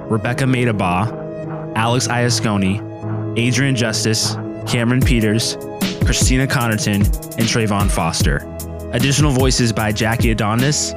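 A man working as an announcer, reading scripted end credits. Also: mid-range voice. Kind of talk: scripted narration.

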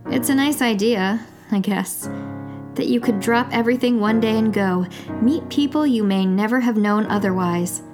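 A woman's voice: a little high, normal speed, over music, natural voice. A woman playing a wry, reflective watchtower broadcaster in an audio drama, narrating in an intimate scripted style. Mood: wry, musing. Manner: reflective, dryly humorous.

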